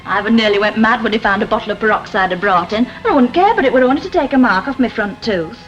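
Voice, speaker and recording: An actress plays a cheeky young married daughter from a working-class Lancashire home. Her voice is on the high side, pert and defiant in a plain-spoken soap style. The 1960 television sound is dull and lo-fi. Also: animated, fast talker, soft background music, close to an everyday voice. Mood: insistent. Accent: Northern English, Lancashire. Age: young adult. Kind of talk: 1960s British television soap acting.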